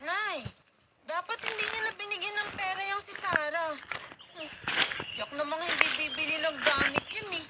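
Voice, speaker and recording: Spiteful, spoiled daughter for radio drama; very high, whiny, scornful; heavy noise on the tape. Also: female voice, fairly even intonation, moderate pace. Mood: mocking. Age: young adult. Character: spiteful, spoiled student daughter jealous of her cousin. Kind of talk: Philippine radio drama acting. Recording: very noisy radio recording with clicks.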